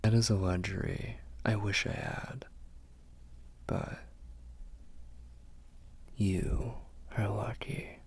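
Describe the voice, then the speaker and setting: A protective vampire lord, played by a man, murmurs in a very husky, breathy whisper with a gravelly crackle. He takes his time over every phrase, tender and reassuring, in an intimate ASMR roleplay. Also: on the low side, fairly even intonation, exaggerated voice. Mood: wistful, intimate. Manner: slow, hushed, reassuring.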